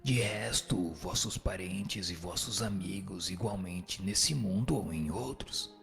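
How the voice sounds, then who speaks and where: A man playing a solemn spirit instructor in a scripted radio drama, answering in a measured, didactic, declamatory way. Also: sits low, vocal fry, a bit bright, heavily acted. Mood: solemn, instructive. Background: music.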